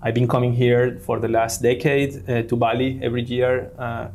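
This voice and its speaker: A man from Venezuela talks through an interview as the guest, pausing often on fillers and restarting his sentences. His pitch sits in an ordinary middle range, neither high nor low. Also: flat delivery, a little mellow, slight background noise. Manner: hesitant, many fillers and restarts. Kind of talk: conversational interview.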